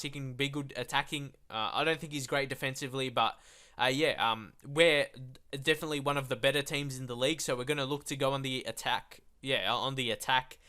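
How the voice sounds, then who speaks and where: Young male gamer, bright tone, stuffy nose, loose chatty commentary. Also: medium pitch. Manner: casual rambling with many fillers. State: congested, blocked nose. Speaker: gaming YouTuber. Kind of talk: live game commentary.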